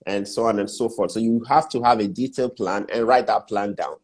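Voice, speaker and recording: A man's voice: on the low side, brisk, a little mellow, husky. A middle-aged Nigerian man with a Nigerian accent, a guest mentor who talks through business steps in a casual interview, repeating his key points with emphasis.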